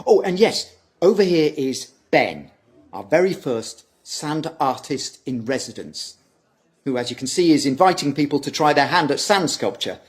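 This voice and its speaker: A man's voice: fairly high pitch, big pitch swings, moderate pace. A man playing a welcoming, showy visitor-centre manager in an audio drama, effusive and presentational like a tour guide.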